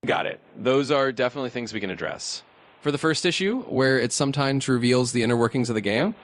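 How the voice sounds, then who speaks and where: A man's voice as an AI chatbot assistant, explaining in an easygoing, reassuring way. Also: medium pitch. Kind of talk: casual explanation.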